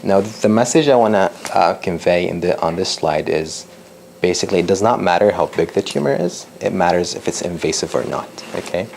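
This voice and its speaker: A young man from Lebanon with a low, gravelly voice gives a public science talk. He talks hesitantly, with many ums and restarts, and a faint hiss of noise sits under the recording. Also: animated, brisk, a little mellow. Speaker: PhD researcher giving a talk.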